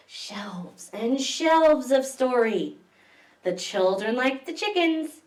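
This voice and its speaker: A woman's voice: a little high, recorded from a distance. A woman hosting a story time for small children, reading and explaining things simply, as a children's librarian does. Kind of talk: picture-book reading and simple craft demonstration for small children. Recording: echoey room, away from the mic.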